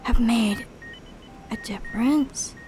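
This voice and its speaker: A woman playing an older, dying half-robot dean in a radio drama, weary and breathy, speaking in halting whispers. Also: fairly high pitch. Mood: dying, sorrowful. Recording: clear background noise.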